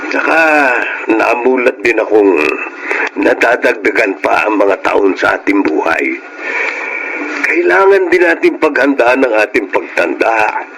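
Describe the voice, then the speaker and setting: A man playing a weary, earnest middle-aged Filipino family man in a radio drama, speaking in measured, formal phrases. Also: fairly low pitch, expressive, vocal fry, fairly bright tone, slight background noise, exaggerated voice, poor audio. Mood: relieved, reflective.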